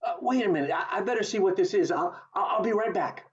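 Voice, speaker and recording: A man's voice: sits high, lively intonation, fast. A man acting an earnest, stubborn young small-town man in an amateur radio play, with stammering, emphatic delivery over a video call. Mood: eager. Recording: muffled video call audio.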